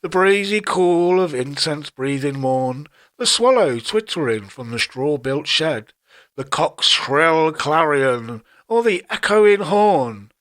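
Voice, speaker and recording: A man reciting classic poetry in a solemn, formal style, with measured and deliberate phrasing. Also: fairly high pitch, a bit bright. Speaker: poetry reader.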